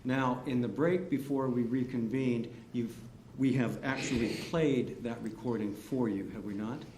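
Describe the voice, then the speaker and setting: Male attorney, mid-pitch, deliberate leading questions, roomy courtroom, light hiss. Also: fairly even intonation, darkish tone. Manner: methodical, leading yes-or-no questions. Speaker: defense attorney. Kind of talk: courtroom cross-examination. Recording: echoey courtroom, faint hiss.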